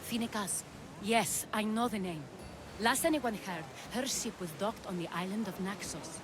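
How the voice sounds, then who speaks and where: A woman's voice: mid-range voice, normal speed, lightly acted. Composed woman ally over noisy ambience actress. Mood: composed, purposeful. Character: composed, sorrowful, guiding female ally. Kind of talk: video game cutscene acting. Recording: clear ambient noise behind.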